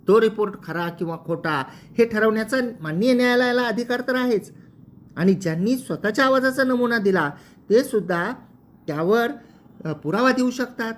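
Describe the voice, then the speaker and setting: A presenter explains legal matters in a formal, methodical way, restating points as they go. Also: mid-range voice.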